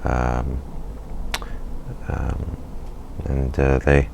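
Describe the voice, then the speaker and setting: A middle-aged man, a poet and physician, talking in a recorded interview, thoughtful and halting with many fillers. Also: extremely low pitch, monotone, gravelly, darkish tone, slight background noise.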